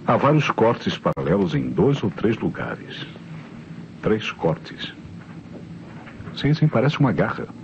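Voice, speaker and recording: Methodical radio police inspector, lowish voice. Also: male voice, big pitch swings, full character voice. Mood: pensive. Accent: Brazilian. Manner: deliberate, authoritative, pondering. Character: measured, methodical male police inspector questioning witnesses. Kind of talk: old Brazilian radio mystery drama acting. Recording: muffled old radio recording, clear noise.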